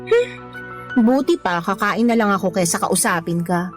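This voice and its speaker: A woman voicing a young girlfriend in a radio drama. She answers back with quick, exasperated retorts. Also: mid-range voice, very animated, faint music behind, natural voice. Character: patient young girlfriend who stands her ground. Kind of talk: Tagalog radio drama acting.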